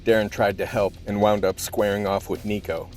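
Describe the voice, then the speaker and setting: An actor playing a weary, blunt, hard-boiled cop narrating a gritty ordeal in a scripted radio play. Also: male voice, on the low side, flat delivery, moderate pace, lightly acted. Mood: grim. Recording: loud music under the voice.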